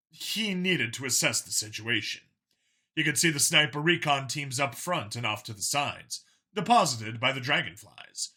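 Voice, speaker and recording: A man's voice: medium pitch, sharp tone. A man reading a scripted story as a dramatic audiobook narrator.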